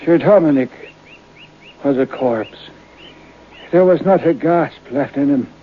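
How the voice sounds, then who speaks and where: Old male storyteller, radio horror: grim, suspenseful, unhurried, on thin phone-like vintage audio. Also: a little high. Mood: grave, suspenseful. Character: old man storyteller recounting a grim ghostly legend. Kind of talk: radio horror drama narration. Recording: tinny, noisy old radio recording.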